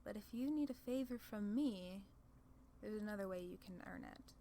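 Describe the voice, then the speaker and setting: A woman's voice: medium pitch, moderate pace, warm tone, a little hiss or hum, natural voice. A woman playing a haughty, sly female demon lord in an ASMR roleplay, condescending and playfully theatrical. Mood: businesslike, amused.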